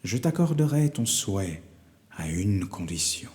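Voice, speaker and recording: Man putting on a slow, wise old wizard. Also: on the low side, very animated, a little mellow, big-room sound. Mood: grave bargaining. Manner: slow, measured, sententious. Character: wise old male wizard, commanding and teasing.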